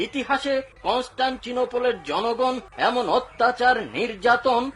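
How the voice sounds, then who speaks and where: A worried visitor in an animated series describes his people's suffering. His voice is very high and anxious. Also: normal speed. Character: worried Byzantine male visitor. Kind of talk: cartoon dubbing.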